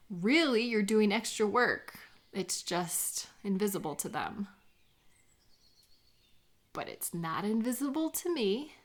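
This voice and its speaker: A woman playing a supportive, affectionate best friend in a comfort audio roleplay, speaking gently and sincerely in an intimate, conversational way. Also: medium pitch. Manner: gentle, sincere, encouraging, unhurried.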